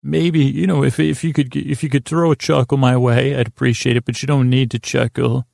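A man with a creaky voice hosting a bedtime podcast, rambling in a meandering, halting and repetitive way to help listeners fall asleep. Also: medium pitch, little pitch movement, a little mellow, no echo, clean studio sound. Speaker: podcast host.

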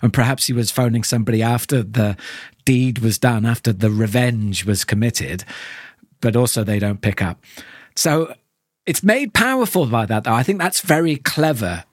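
A man's voice: mid-range voice, normal speed, no echo, studio quality. A man hosting a podcast, talking casually and analytically, thoughtful and often questioning, seeking agreement as he goes. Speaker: podcast host.

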